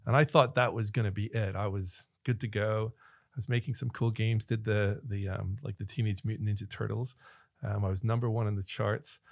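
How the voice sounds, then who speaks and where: A middle-aged man from Northern Ireland with an American accent, a relaxed guest telling stories in a casual studio interview. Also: fairly low pitch, moderate pace, gravelly, no room sound. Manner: relaxed storyteller, laughs often, gestures with hands. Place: small podcast studio. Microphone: studio mic on boom arm, headphones on.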